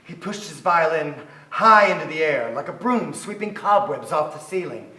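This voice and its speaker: A man's voice: fairly high pitch, lively intonation, moderate pace, bright, forceful tone. An actor playing a wistful man recalling his boyhood in theatrical literary narration. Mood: wistful, intense. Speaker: stage actor in a staged reading. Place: theatre stage. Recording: echoey theatre hall. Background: violin music.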